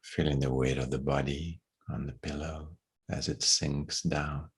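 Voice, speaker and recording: A man leading a guided meditation in a soft, breathy, hushed voice, unhurried and leaving long pauses. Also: very deep voice, little pitch movement.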